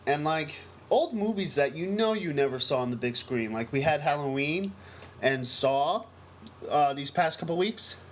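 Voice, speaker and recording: A man's voice: on the high side, animated, moderate pace. An American man co-hosting a casual podcast, talking in a rambling, emphatic, enthusiastic way. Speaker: podcast co-host. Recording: noisy amateur podcast recording.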